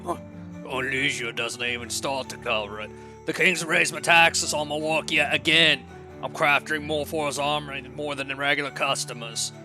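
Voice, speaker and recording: A man's voice: a little high, fairly even intonation, moderate pace, bright, forceful tone, faint music behind. A man acting as a weary, disgruntled blacksmith in an audio drama, with blunt, grumbling working-man talk. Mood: wary, bitter. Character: weary, disgruntled overworked male blacksmith, gruff and plain-spoken.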